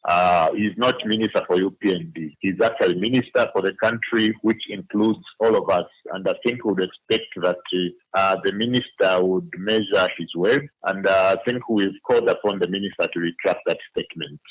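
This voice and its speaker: Low, husky Zambian man commenting. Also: normal speed, few pauses, poor audio. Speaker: advocacy group director. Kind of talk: unscripted interview comment.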